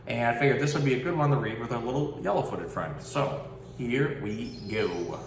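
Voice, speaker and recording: A man's voice: mid-range voice, animated, normal speed. Man, zookeeper presenter, casual educational storytime, swinging intonation, distant roomy sound. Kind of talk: casual educational talk and picture book read-aloud. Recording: roomy, distant mic, faint background noise.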